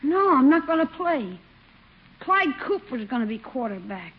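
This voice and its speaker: Young boy, high swooping voice, sullen and hesitant, radio comedy, muffled vintage recording. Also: sing-song, moderate pace, slightly rough, exaggerated voice. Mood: sulky. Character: sulky, reluctant young schoolboy nephew. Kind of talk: 1950s radio comedy acting.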